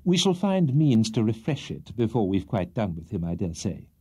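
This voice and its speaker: A crafty, insinuating barrister, voiced by a male reader, pressing a witness in a mellow, husky tone. He stresses his pointed remarks with sly emphasis. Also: lowish voice, very animated, normal speed. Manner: insinuating, cross-examining.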